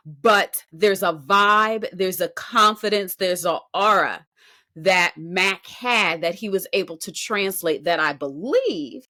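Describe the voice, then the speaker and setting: A woman's voice: mid-range voice, sharp tone. A woman co-hosting a podcast, giving unscripted music show commentary in an emphatic, analytical way. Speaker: podcast co-host.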